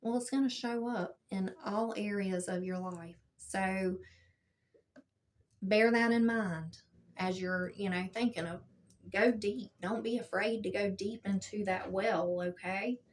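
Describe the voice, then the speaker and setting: A woman with a smooth, soothing voice talks gently and without hurry, often checking in with the listener. She sounds a little distant from the mic. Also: fairly even intonation. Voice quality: smooth, low-toned soothing voice. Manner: gentle, unhurried, checks in with frequent "okay?". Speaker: spiritual teacher. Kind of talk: casual spiritual self-help talk.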